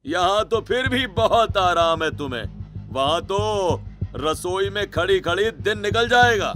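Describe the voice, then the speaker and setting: A man's voice: high-pitched, expressive, unhurried, a bit bright. Stern middle-aged patriarch, played by a male narrator, raised emphatic voice, domineering, cartoon drama, music behind. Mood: angry, scolding. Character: stern middle-aged family patriarch arranging his nieces' and daughters' marriages.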